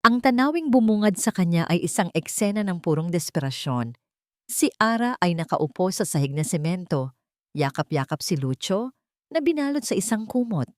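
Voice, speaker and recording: A woman's voice: lowish voice, very animated, moderate pace, no echo, studio quality. A woman with a Filipino accent reading a dramatic scripted romance story as its narrator.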